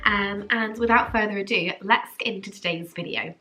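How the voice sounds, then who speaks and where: A woman's voice: mid-range voice, normal speed, over music, poor audio. A young woman with a cold, sounding a bit nasal and congested, chatting casually to camera with lots of ums and likes. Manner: rambling, many ums and likes. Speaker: vlogger.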